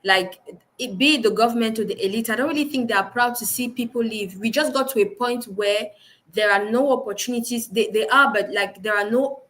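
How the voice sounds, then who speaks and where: A woman with a Cameroonian accent answering as an interview guest in a measured, careful, conversational way. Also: on the high side, a bit bright, low-quality recording.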